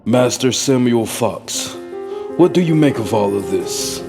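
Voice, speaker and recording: A man's voice: medium pitch, lively intonation, a little slow. A man playing a blunt, impatient and commanding Jedi master in a fan audio drama. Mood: questioning. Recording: music bed under voice.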